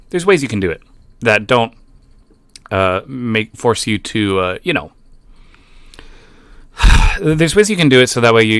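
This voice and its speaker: Brief: male reactor, low-pitched, dry booth sound, doubtful and digressive. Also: a bit bright, dry recording. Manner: skeptical, rambling with restarts. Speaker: YouTube commentator. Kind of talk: casual reaction commentary.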